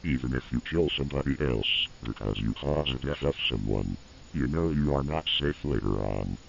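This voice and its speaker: Through a dull tape with faint hiss comes a menacing analog horror entity. The voice is extremely low and heavily creaking. It taunts and needles whoever is listening, speaking straight at them. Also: male voice, moderate pace, full character voice. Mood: cold menace.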